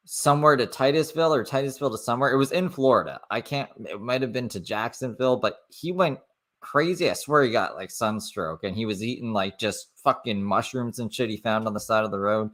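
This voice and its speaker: A man giving casual, profane, rambling commentary over a livestream, swinging from loud asides to quiet mutters. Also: mid-range voice.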